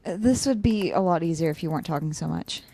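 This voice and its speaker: A woman's voice: lowish voice, quick pace, dark tone, dry recording. A woman playing an earnest, conflicted, loyal young student in a naturalistic audio drama, a little hesitant. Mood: defensive, preoccupied.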